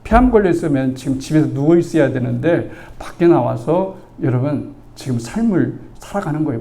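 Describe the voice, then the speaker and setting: A man in his sixties, a pastor, preaching a sermon as a chatty personal story, acting out the dialogue and asking the congregation for agreement. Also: high-pitched. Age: 60s. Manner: conversational, asks the congregation for agreement; animated storytelling, acts out the dialogue.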